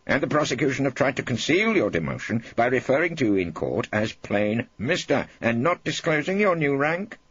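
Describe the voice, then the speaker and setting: Man playing a guarded, evasive police witness, husky. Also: medium pitch, very animated, normal speed, hardly any pauses, fairly bright tone, no room sound, highs cut off, pitched above the performer's own voice. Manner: guarded, reluctant. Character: cautious, evasive male police officer under cross-examination.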